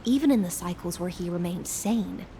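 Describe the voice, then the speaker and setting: A woman's voice: lowish voice, moderate pace, warm tone, in a large hall, a little hiss or hum, natural voice. A woman playing a courteous, loyal knight, speaking formally and with measure in an anime game. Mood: formal, composed. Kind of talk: dramatic anime game acting. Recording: faint background music.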